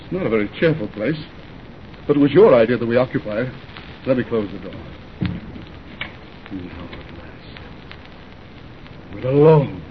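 A man's voice: expressive, fast, natural voice. An actor playing a nervous, guilty bridegroom who bluffs through his fright, in an old-time radio suspense drama. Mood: uneasy. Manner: bluffing bravado that gives way to fright. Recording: muffled, noisy vintage radio recording with rumble.

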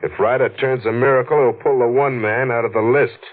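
A man's voice: medium pitch, steady tone, brisk, lightly acted. A man acting a wry, skeptical, streetwise investigator in a 1940s radio mystery, with clipped, slangy tough-guy delivery. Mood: brisk, puzzled. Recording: noisy, narrow-band old radio recording.

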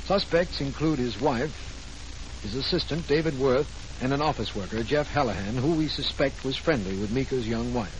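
An actor playing a formal, measured district attorney in 1940s radio drama style. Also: male voice, mid-range voice, close to an everyday voice. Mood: formal recap. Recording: noisy old 1940s radio recording.

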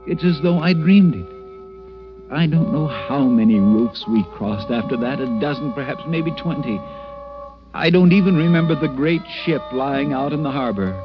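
Music swelling behind muffled old radio, actor as smitten everyman hero, lowish voice, earnest, dramatic. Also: natural voice. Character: ordinary timid man turned smitten adventurer, earnest. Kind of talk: 1940s-50s radio drama acting and first-person narration.

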